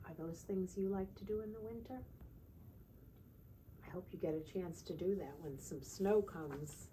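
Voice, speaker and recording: A woman teacher reading aloud to young children in a sing-song way, pausing to ask simple check-in questions. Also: medium pitch, mellow, a little hiss or hum, low-quality recording. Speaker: children's teacher and storytime reader.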